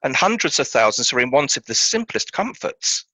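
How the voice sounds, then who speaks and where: A man playing a polite, earnest gentleman charity collector in a radio play, speaking formally and courteously. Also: mid-range voice, big pitch swings, moderate pace, no room sound.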